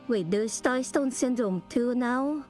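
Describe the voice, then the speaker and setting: A woman's voice: a little high, takes it slowly. A woman streamer giving casual live commentary on a video game she is playing. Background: game music.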